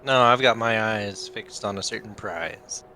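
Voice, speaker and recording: A man's voice: lowish voice, unhurried, pushed and bright, a little hiss or hum. A man playing a tabletop role-playing game, improvising casually in and out of character.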